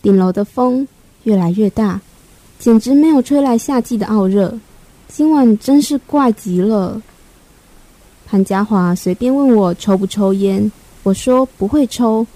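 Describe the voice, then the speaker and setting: A woman playing a reflective first-person storyteller in a radio drama, narrating in a measured, literary way. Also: medium pitch, mellow, lightly acted, poor audio.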